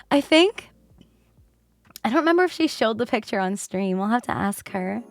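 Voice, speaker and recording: A woman streamer chatting casually with her viewers, her voice worn from a bad cough, keeping it lowered and laughing often. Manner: chatty, rambling with fillers. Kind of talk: casual livestream chat.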